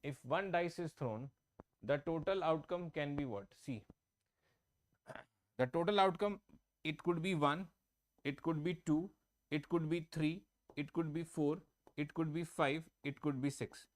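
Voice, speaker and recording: A man, his voice sitting a little high, teaches math over his own microphone. He works through each step slowly, says key points twice and keeps asking his class whether they follow. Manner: explains step by step, repeats and checks with students. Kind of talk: online exam-prep class. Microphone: own microphone, online class.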